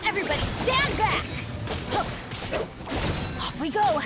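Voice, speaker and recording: A woman's voice: very high voice, lively intonation, unhurried. A woman voicing a bold, confident young female fighter calling out battle lines in a video game. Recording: loud game music and battle effects. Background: game music, crashing hits.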